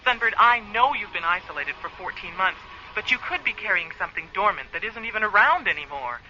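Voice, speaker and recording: A woman's voice: medium pitch, animated, a little fast, pushed and bright, slight background noise. A woman playing a friendly, candid space communications officer in a radio play, chatty and a little apologetic over a radio link. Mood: concerned, apologetic. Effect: radio transmission filter. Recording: dull band-limited radio link, music behind.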